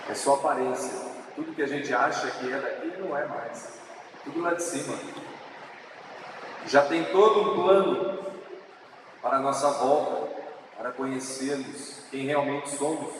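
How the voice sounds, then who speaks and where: A man with a São Paulo countryside accent, giving an earnest, preaching public speech at an assembly event. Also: medium pitch. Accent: São Paulo countryside Brazilian Portuguese. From interior of São Paulo state, Brazil. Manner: earnest, preaching, slow with drawn-out emphatic words. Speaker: speaker at a legislative assembly event. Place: large assembly hall. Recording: echoey hall, distant mic, noisy.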